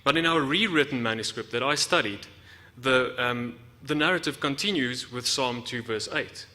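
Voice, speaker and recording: A man from South Africa giving a prepared academic lecture, explaining in a measured way with deliberate emphasis. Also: mid-range voice, sharp tone. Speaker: academic researcher giving a talk. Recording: reverberant hall.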